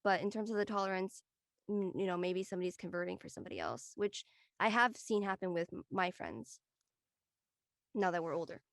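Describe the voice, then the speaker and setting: A woman's voice: lowish voice, a little fast, no echo, studio quality. A woman giving her opinions in a casual discussion, explaining in an emphatic, conversational way. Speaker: panelist.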